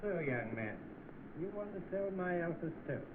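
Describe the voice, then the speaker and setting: An actor playing a businesslike office boss in 1930s film style. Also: male voice, high voice, expressive, moderate pace. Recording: noisy narrow-band old film soundtrack.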